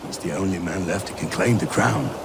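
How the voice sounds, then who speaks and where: A man's voice: low-pitched, a little fast, noisy background. An actor playing a boastful Danish warrior who taunts and mocks his rivals. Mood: mocking. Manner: scornful, taunting. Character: gruff boastful Danish warrior man, mocking. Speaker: rival warrior in a rhyming insult duel. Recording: game cutscene with loud ambience.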